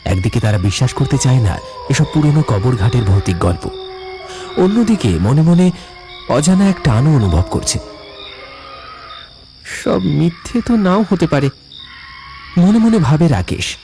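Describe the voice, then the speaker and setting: A man narrating a cartoon ghost story in a hushed, suspenseful way. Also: mid-range voice, sing-song, moderate pace, over music. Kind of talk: scripted horror story narration.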